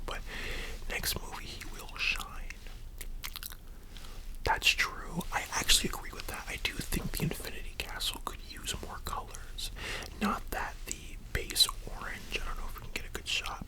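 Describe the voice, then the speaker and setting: Very whispery ASMR creator, casual and rambling. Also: rough recording. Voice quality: breathy, unvoiced whisper. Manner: rambling, casual, addressing the listener. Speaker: ASMR content creator and host. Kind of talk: whispered ASMR roleplay chat about anime.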